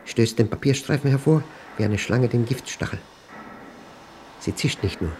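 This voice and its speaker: An actor playing a paranoid, obsessive man recording a spoken diary in a radio play, in clipped, intense fragments with a gravelly, husky voice. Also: mid-range voice, normal speed, darkish tone, a little hiss or hum, natural voice. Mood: agitated, tormented. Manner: clipped, fragmented, intense delivery with emphatic outbursts.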